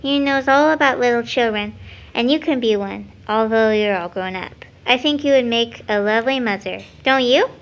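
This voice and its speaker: A woman's voice: on the high side, moderate pace, natural voice. A woman playing a sweet, dreamy, earnest little girl in an audio drama. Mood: adoring. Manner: childlike wording, earnest.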